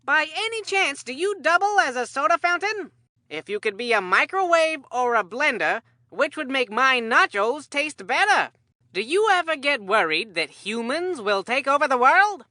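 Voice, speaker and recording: An actor voicing an excitable, corny, food-loving kid baseball commentator in a video game, with a hammy, drawn-out announcer delivery. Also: high-pitched, no echo, clean studio sound, heavily acted. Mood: chatty, joking.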